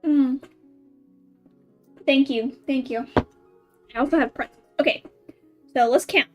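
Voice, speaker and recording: High-voiced woman streaming a game. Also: steady tone, slow pace, dull audio, over music. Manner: chatty, thinking aloud in short playful remarks. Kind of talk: casual live gameplay chat.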